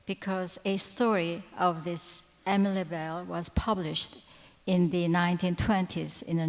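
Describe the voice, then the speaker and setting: A woman giving a lecture at a conference, pausing often with fillers and correcting herself. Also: on the low side. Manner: hesitant, frequent fillers and self-corrections. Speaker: conference speaker. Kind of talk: lecture presentation. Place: large conference hall. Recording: reverberant hall sound.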